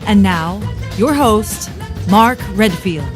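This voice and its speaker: A woman's voice: medium pitch, expressive, slow pace. A woman announcer delivering a scripted introduction to a show and its host. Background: prominent music.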